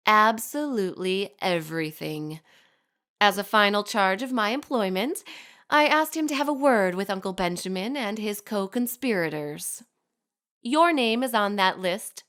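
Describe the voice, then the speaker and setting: A woman's voice: mid-range voice, fairly bright tone. A woman playing a poised, sardonic society lady in an audio drama, narrating a letter with precise, formal, archly wry diction. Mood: coolly pointed. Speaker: actor in a fiction podcast. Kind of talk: scripted audio drama monologue, letter read aloud.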